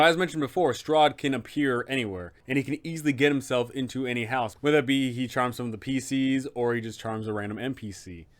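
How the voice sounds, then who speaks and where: A man with a mid-pitched voice hosts a tabletop game guide. He explains things informally, with emphatic, opinionated advice for game masters.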